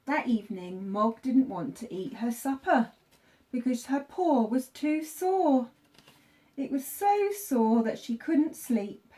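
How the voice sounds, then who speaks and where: Female primary teacher, storybook read-aloud, unhurried and deliberate, very lively intonation. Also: a little high. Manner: expressive, deliberate phrasing for young children. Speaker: teacher reading to pupils.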